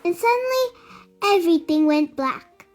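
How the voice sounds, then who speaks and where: A woman reading a children's story aloud in an animated, deliberate storytelling manner. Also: very high voice, music in the background. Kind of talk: expressive read-aloud narration for children.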